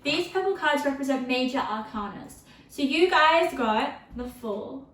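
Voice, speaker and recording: A woman giving a casual tarot reading, chatty and rambling with lots of fillers, her voice turning a little croaky. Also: high-pitched, animated, a little slow, fairly bright tone, reverberant, far from the mic. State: voice getting croaky from thirst. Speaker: tarot reader.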